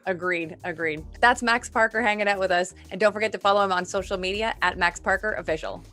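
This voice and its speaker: A woman's voice: mid-range voice, quick pace, pushed and bright, soft background music. Female interviewer, mid-range voice, casual entertainment-show hosting.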